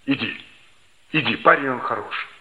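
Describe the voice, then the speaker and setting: A man's voice: medium pitch, moderate pace, sharp tone. An actor playing a stern, blunt but fair male teacher, admonishing emphatically in a 1960s Soviet radio play. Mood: firm, dismissive. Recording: dull, slightly noisy old radio recording.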